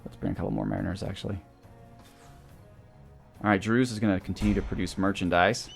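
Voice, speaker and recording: A man's voice: fairly low pitch, fairly even intonation, fairly quick, creaky, faint music behind. A man giving casual gameplay commentary as a let's play host, thinking aloud through his moves.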